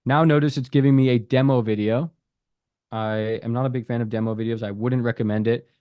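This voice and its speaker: Man, casual app-review voice-over, even mid pitch, dry close mic, reads prompts aloud and comments. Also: brisk, clean tone, no echo, dull audio. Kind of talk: casual screen-recorded app walkthrough.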